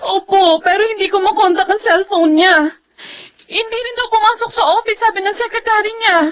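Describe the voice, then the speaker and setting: A woman's voice: extremely high pitch, fairly even intonation, a little fast, a bit bright, no room sound. A woman playing a distraught, tearful and accusing young mother in a Filipino radio drama. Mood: upset, tearful.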